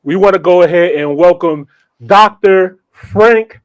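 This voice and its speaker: A man with a fairly high voice hosts a casual show. He talks in an animated, slangy, welcoming way, like a hype host. The sound is very dry, with no room to it. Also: measured pace, clear, resonant tone, no room sound, muffled recording. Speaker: podcast host.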